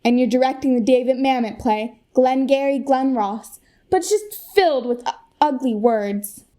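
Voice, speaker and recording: Polished young American saleswoman, acted by a woman: high voice, emphatic presentational delivery, radio comedy. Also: normal speed, dark tone, close to an everyday voice. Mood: persuasive. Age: young adult. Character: earnest, polished corporate saleswoman Shelley pitching a product. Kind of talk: comic radio play, mock keynote presentation.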